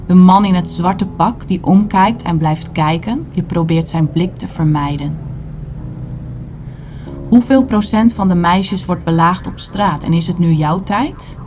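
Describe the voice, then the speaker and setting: A woman performing a literary audio play, narrating in short, deliberate dramatic phrases. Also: on the low side, a little mellow, soft background music. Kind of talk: literary spoken-word narration in second person.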